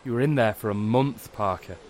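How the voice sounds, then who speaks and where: Man playing a halting, protective father. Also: fairly low pitch, slight background noise, lightly acted. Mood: pained, confessing. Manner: halting, earnest, gentle. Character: protective, burdened father of a teenage girl. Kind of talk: audio drama acting.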